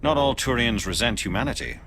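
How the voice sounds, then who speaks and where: An actor playing a calm, stern male alien agent in a video game, speaking in a measured, deliberate, formal way. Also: mid-range voice, a little hiss or hum, natural voice. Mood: measured, earnest.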